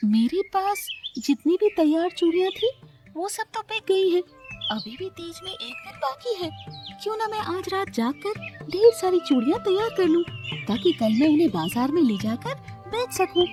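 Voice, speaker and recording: Woman voicing a high, earnest little cartoon bird lady. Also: sing-song, moderate pace, over music. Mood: determined. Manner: earnest, courteous, speaks up for herself. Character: sweet, polite, hardworking female bird bangle seller. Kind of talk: children's cartoon story acting.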